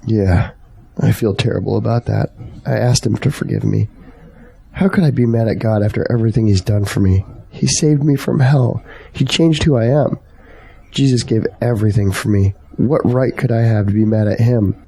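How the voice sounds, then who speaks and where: An actor playing a devoted young adult son in an audio drama, sincere and plain-spoken, with a gravelly, husky voice. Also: male voice, fairly low pitch, normal speed, mellow, poor audio. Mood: earnest, resolved. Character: earnest, devoted young adult son, anxious for his family.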